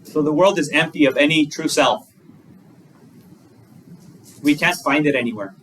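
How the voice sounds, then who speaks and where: A man's voice: fairly high pitch, moderate pace, fairly bright tone. A male Buddhist monk giving a dharma talk, conversational, using plain examples and rhetorical questions. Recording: distant mic with faint room noise.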